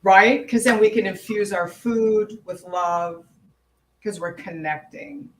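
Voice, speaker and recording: A woman's voice: medium pitch, steady tone, takes it slowly, poor audio. A woman hosting an interview, talking in a reflective way and thinking aloud. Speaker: host. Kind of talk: conversational interview.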